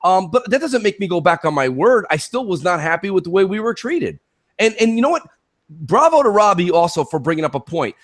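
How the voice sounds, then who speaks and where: A man's voice: high-pitched, sing-song, fairly quick, fairly bright tone. A man co-hosting a gaming talk show, speaking emphatically in opinionated, rhetorical bursts and often checking in with "okay" and "listen".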